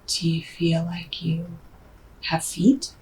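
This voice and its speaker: A woman working as a hypnotherapist, asking gentle guiding questions in a soft, breathy near-whisper with murmured acknowledgements. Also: fairly low pitch, animated, slow pace. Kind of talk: hushed, slow guided hypnosis questioning. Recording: distant mic, background noise.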